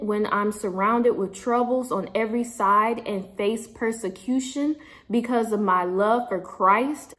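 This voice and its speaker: A young woman vlogging at home, reading a devotional aloud and chatting casually into a phone held close. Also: medium pitch. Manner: chatty, upbeat, warm-hearted sign-offs. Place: indoors at home, small room. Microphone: phone camera held close.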